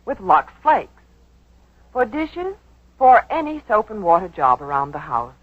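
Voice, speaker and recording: A woman's voice: mid-range voice, big pitch swings, a little slow, dry recording. Female commercial voice, 1940s radio, knowing, swooping intonation, muffled vintage broadcast. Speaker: commercial spokeswoman. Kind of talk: 1940s scripted radio advertising dialogue.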